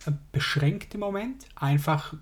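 A hoarse man with a Swiss German accent, hosting a solo podcast and chatting informally, straight to the listener. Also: fairly high pitch, lively intonation, a little slow. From Switzerland. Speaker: podcast host. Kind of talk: casual solo podcast monologue.

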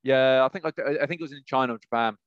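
A man's voice: mid-range voice, racing, no room sound, muffled recording. A man chatting casually as a podcast co-host, rambling and jumping between thoughts. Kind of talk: casual chat about video game movies.

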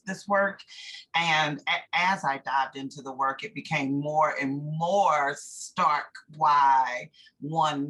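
An American woman from North Carolina, a theatre director, answering interview questions reflectively and haltingly as she searches for words. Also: deep voice, pushed and bright, slightly rough, poor audio.

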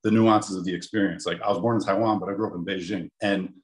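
A man's voice: deep voice, steady tone, fast. A man in his thirties, a former pro basketball player, telling his story in an emphatic, confident way. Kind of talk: casual interview.

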